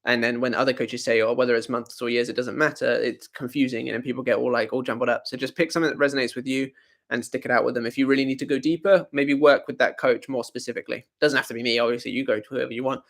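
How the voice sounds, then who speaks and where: A man's voice: mid-range voice, steady tone, fast talker, gravelly. A young man hosting a live Q&A, reading out viewer comments and answering them in a casual, rambling way that often corrects itself. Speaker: host.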